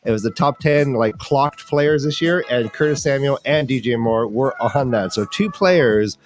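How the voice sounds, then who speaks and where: A man's voice: medium pitch, moderate pace. A man hosting a fantasy football livestream with casual, jokey banter and game previews, rambling and repeating phrases for emphasis.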